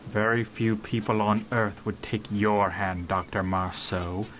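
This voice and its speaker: An actor playing a stern, upright space marshal in a comic sci-fi radio drama, firm and accusing. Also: male voice, fairly low pitch, fairly even intonation, measured pace, a bit bright, a little hiss or hum, rough recording. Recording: music under the voice.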